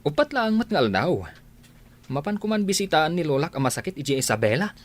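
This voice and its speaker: An actor playing a breezy, persuasive workmate and loyal friend in a radio drama, with an Ilocano accent. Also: male voice, a little high, big pitch swings, brisk. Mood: concerned.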